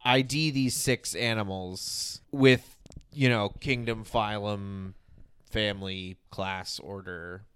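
A person with a deep voice hosting a casual podcast, talking informally and searching for words aloud. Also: fairly even intonation, takes it slowly, a bit bright, smooth, no echo, clean studio sound. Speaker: podcast host.

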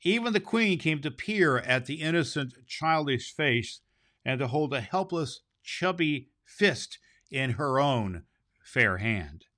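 A man's voice: medium pitch, sing-song, slow, sharp tone. A man hosting a storybook reading, narrating expressively and stumbling slightly over some words. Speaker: storybook reader and podcast host. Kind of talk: storybook narration read aloud.

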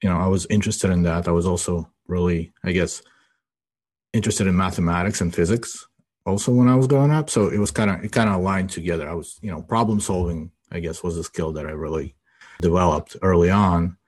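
A man's voice: low-pitched, fairly quick, a little mellow, husky. A man in his forties from Ukraine, a guest in a casual podcast interview, rambling and tagging his sentences with "you know" and "right?". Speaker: guest, IT business owner.

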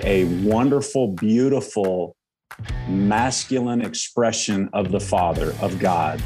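A man speaking as a guest on a podcast, earnest and measured as he reflects on his views. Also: fairly low pitch, a little mellow. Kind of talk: casual interview conversation.

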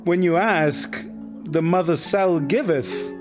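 An actor playing a solemn, pompous possum elder in a sci-fi comedy audio drama, speaking with formal ceremony. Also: male voice, fairly high pitch, slow. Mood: solemn, proud. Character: solemn male possum elder of an underground sect. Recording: music behind the voice.

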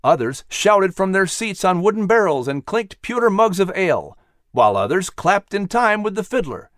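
A man narrating a story in an animated, dramatic, expressive style. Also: sits high, normal speed, no room sound, clean studio sound. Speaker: audiobook narrator.

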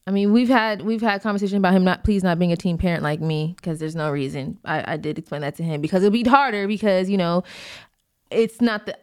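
Squeaky-voiced woman podcast co-host. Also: steady tone, quick pace, clean tone. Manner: laughs off teasing, many fillers. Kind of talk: casual chat.

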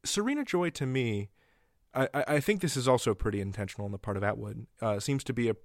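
A man's voice: medium pitch, animated, fast talker, dry recording, studio quality. A man hosting a casual interview, feeling his way into sentences and repeating his first words. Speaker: podcast interviewer.

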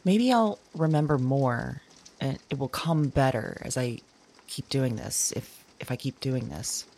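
A woman playing an uneasy, self-conscious dreamer in an audio drama, speaking haltingly into a voice memo and trailing off. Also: extremely low pitch, lively intonation, darkish tone, slight background noise, heavily acted. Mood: uneasy, musing.

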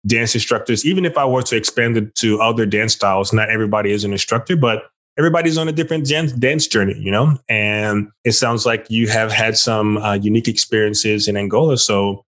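A man's voice: fairly low pitch, fairly quick, few pauses, a bit bright. A man from Texas hosting a podcast, chatting casually and loosely with plenty of "like" and "you know". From Texas, United States. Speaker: podcast host. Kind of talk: casual conversational interview.

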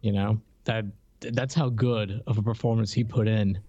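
A man co-hosting a podcast in casual conversation, somewhat halting and repeating words as he goes. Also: fairly low pitch, steady tone, warm tone, no echo, highs cut off. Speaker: podcast co-host.

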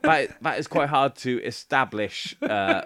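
A man's voice: medium pitch, wildly swooping pitch, measured pace, creaky, a bit bright. A man with a British accent co-hosting a casual podcast chat. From United Kingdom. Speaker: podcast co-host.

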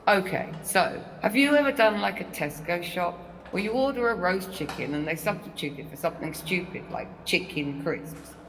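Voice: medium pitch, expressive, normal speed, fairly bright tone. A wry, caring prison worker, as an actor plays the part, talking in a chatty way and livening up for anecdotes. The voice has a long hall reverb, with faint noise underneath. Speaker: prison staff. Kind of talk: audio drama monologue.

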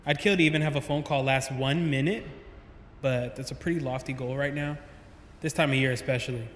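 A man's voice: mid-range voice, fairly quick, fairly bright tone, reverberant, big-room sound, slight background noise, natural voice. An actor playing a middle-aged, self-deprecating estranged father in an audio drama, dry and hesitant. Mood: dry, rueful. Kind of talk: naturalistic audio drama acting.

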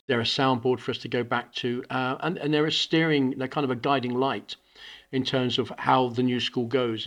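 A man's voice: medium pitch, fairly bright tone. A middle-aged man, a founding school principal, talking earnestly in a conversational podcast interview, with many restarts and repeated words. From UK and Hong Kong.

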